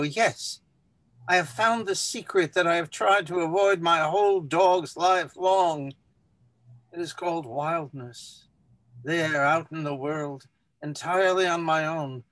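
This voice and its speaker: A man's voice: a little high, a bit bright, no room sound, low-quality recording. A man voicing an earnest, grandiloquent dog in a solemn, theatrical story reading. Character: earnest, grandiloquent male pet dog confessing his wildness. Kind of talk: dramatic literary story reading.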